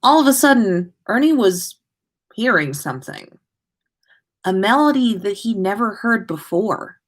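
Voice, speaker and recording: A woman's voice: medium pitch, expressive, unhurried. A woman hosting a livestream, chatting informally and reading stories aloud with expression. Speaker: podcast livestream host reading listener stories. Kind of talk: casual livestream chat and expressive story reading.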